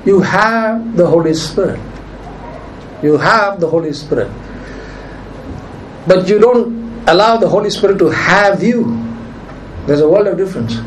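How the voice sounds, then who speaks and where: Male pastor, high voice, strained from preaching, emphatic, faint background hiss. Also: animated, unhurried, pauses often, low-quality recording. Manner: emphatic preaching, repeats key phrases. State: nearly lost his voice. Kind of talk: sermon.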